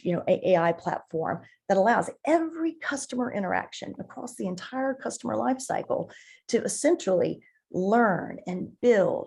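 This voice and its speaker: A woman giving conversational, enthusiastic explanations as an interview guest on a marketing podcast, haltingly repeating words as she thinks. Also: mid-range voice, a little mellow, breathy edge.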